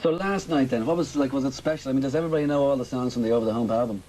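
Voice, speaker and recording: A man hosts a TV interview, firing off chatty, probing questions at a quick clip. His voice is mellow and dark. A faint hiss of noise sits under the recording. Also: mid-range voice. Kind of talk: casual TV interview.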